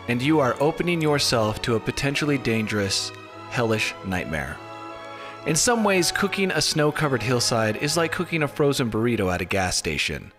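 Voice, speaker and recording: Deadpan male narrator over prominent music. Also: mid-range voice. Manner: deadpan, tongue-in-cheek formal delivery. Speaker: narrator of a skiing explainer video. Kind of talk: scripted narration, mock-documentary. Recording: music bed under voice-over.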